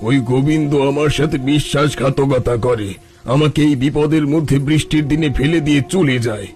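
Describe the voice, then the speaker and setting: A man's voice: a little high, fairly even intonation, brisk, darkish tone, faint music behind, lightly acted. A man voicing a betrayed, helpless holy man in a cartoon, plaintive and lamenting. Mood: sorrowful, bitter. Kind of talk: cartoon storytelling voice acting.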